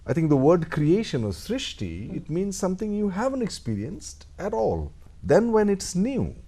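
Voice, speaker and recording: A man's voice: high voice, moderate pace, creaky. A middle-aged Indian man, an actor, talking thoughtfully in a radio studio interview with an Indian English accent. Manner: thoughtful, animated, acts out little quoted exchanges. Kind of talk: reflective interview talk.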